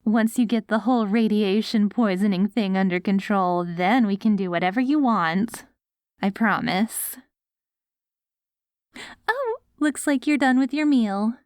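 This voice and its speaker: A woman's voice: medium pitch, lively intonation, a little slow, no echo, studio quality, close to an everyday voice. A woman playing a doting, patient wife in an ASMR roleplay audio drama, coaxing sweetly like a caregiver. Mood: gently firm, then encouraging. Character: doting wife caring for her ghoul husband after apocalypse.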